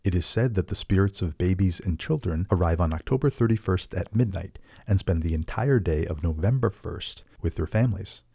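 A man's voice: low-pitched, animated, a little fast, gravelly, few pauses, mellow, breathy edge. A man co-hosting, reading scripted explanatory narration.

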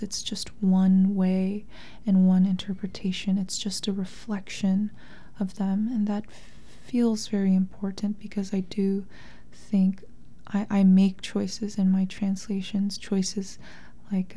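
A woman author speaking softly and breathily in a thoughtful, measured, literary way. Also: mid-range voice. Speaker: interviewed author and translator. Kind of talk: reflective literary interview talk and reading aloud from a memoir.

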